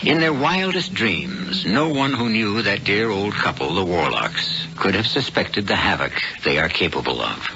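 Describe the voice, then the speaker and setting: An actor as the ominous, theatrical host narrating an American radio mystery drama. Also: male voice, fairly low pitch, big pitch swings, measured pace, few pauses, bright, forceful tone, a little hiss or hum, full character voice. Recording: dull vintage radio recording, music.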